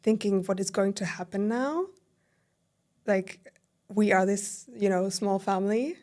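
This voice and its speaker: A woman in her thirties from southern Germany with a German accent, a podcast guest recounting her life in a warm, filler-heavy way. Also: mid-range voice, measured pace. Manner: warm, storytelling with many fillers. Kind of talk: casual interview conversation.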